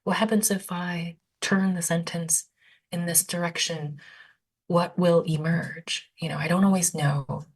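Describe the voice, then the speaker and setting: Poet guest, woman, low voice, native vietnamese speaker, thinking aloud and full of "like". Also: fairly even intonation, measured pace, husky. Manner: thinks aloud with many "like" fillers and self-corrections. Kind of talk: casual conversational interview.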